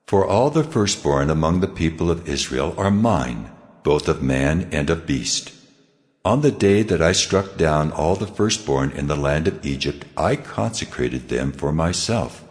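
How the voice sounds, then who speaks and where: A man's voice: very deep voice, expressive, unhurried. A man playing God in a dramatized scripture reading, solemn and authoritative, giving commands. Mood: solemn, commanding. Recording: long cavernous reverb. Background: faint music.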